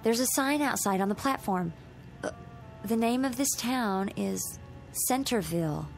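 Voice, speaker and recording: A woman's voice: on the high side, expressive, a little slow, gravelly, soft background music. An actress playing an anxious young woman stranded in an eerie empty town in a radio drama, speaking naturally and hesitantly. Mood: relieved, light.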